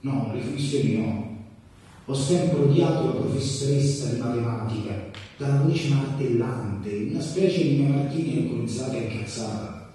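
An actor playing a disgruntled, sarcastic humanities graduate in an ironic stage monologue, colloquial and sweary. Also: male voice, mid-range voice, normal speed, warm tone, natural voice. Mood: exasperated, ironic. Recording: very echoey theatre, distant mic.

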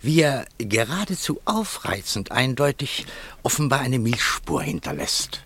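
A man's voice: mid-range voice, sing-song, unhurried, a bit bright, slightly rough, heavily acted. A man playing an eager, suspicious, stubborn amateur detective in a German radio play, speaking with dramatic, insistent emphasis.

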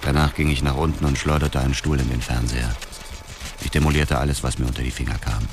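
A man's voice: extremely low pitch, fairly even intonation, fast talker, gravelly, noisy background. An actor playing an embittered avenger who narrates his revenge in a radio drama with detached contempt. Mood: detached, grim. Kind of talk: scripted first-person radio drama narration.

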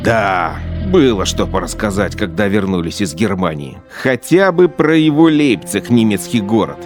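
A man's voice: on the low side, expressive, moderate pace, lightly acted. A man playing a drunken, brutal collaborator commander in a radio play, speaking with a swaggering, mocking manner, music playing under him. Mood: boastful. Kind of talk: radio drama acting.

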